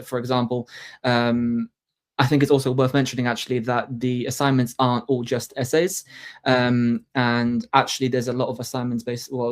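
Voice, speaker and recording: A man whose first language is Polish, talking as a translation master's student on a panel, with frequent fillers and self-corrections. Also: medium pitch.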